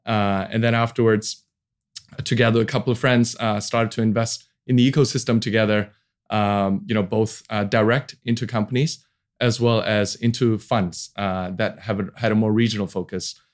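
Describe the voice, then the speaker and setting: A relaxed man in his forties, from Hong Kong, speaking in a fairly low voice. He talks about his career in a loose, conversational way, full of ums and restarts, and laughs easily. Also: moderate pace. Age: 40s. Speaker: venture capital founder, guest. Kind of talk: casual interview talk.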